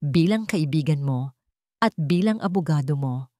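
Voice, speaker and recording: A woman's voice: deep voice, animated, unhurried, warm tone, no room sound, clean studio sound. A woman voicing a serious, loyal male lawyer friend in an audio drama, advising in a firm, professional way.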